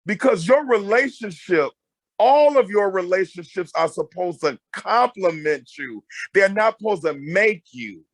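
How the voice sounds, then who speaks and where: A loud, emphatic middle-aged man giving animated, conversational advice as a guest counselor, often repeating phrases to drive them home. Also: sits high, a little slow, dry recording. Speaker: guest marriage counselor and mentor.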